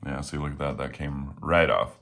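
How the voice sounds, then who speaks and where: A man casually talking while taking apart and cleaning a knife at home, a little hoarse today, relaxed and rambling with plenty of fillers. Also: very deep voice, normal speed. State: slightly hoarse. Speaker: knife reviewer. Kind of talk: casual talk while working. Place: home room with windows.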